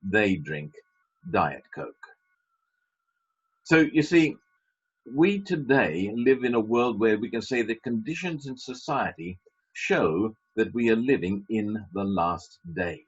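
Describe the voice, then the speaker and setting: A man's voice: on the low side, animated, takes it slowly, slightly rough. A man preaching a sermon as a pastor, emphatic, with wry asides. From Britain. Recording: dull lo-fi sermon recording.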